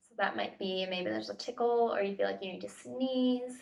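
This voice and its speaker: A woman facilitator leading a guided body scan meditation with gentle, tentative invitations and pauses, recorded at home. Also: mid-range voice, vocal fry. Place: at home, indoors. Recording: home recording, distant mic.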